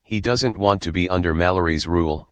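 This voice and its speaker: A man's voice: low-pitched, fairly quick, a bit bright, no echo. A man narrating, reading a story script aloud.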